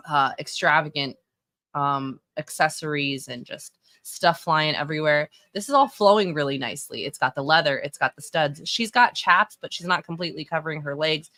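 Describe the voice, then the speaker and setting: A woman in her thirties with a Texan accent talks through her opinions in a loose, conversational way, often stopping to correct herself. Her voice sits on the low side. The recording is dry and close, with no room sound. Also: pushed and bright, no room sound. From Texas. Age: 30s. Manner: chatty, opinionated, self-correcting. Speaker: streamer reviewing wrestling fashion. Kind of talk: casual live-stream commentary.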